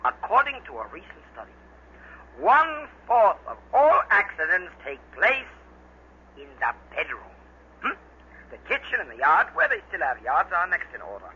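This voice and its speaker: A man's voice: high voice, wildly swooping pitch, moderate pace, sharp tone, breathy edge. A man working as an interviewer on a vintage radio program. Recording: dull hissy old radio recording.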